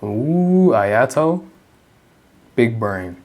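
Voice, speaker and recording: A woman's voice: very deep voice, very animated, very slow, a little mellow. A woman giving casual, blunt, playful commentary while playing a video game. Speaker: gameplay commentator.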